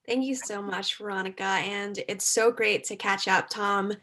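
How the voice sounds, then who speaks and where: A young adult woman moderating an interview, asking long, measured framing questions. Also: mid-range voice, fairly even intonation, pushed and bright. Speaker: interview moderator, fashion editor.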